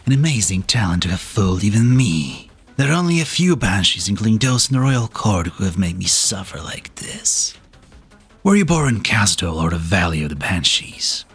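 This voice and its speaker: An actor playing an ancient, weary, wry male being in a fan-dubbed game drama, speaking in a slow, reflective, breathy way. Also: lowish voice, sing-song, a bit bright, heavily acted. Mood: grudging admiration. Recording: background music under the voice.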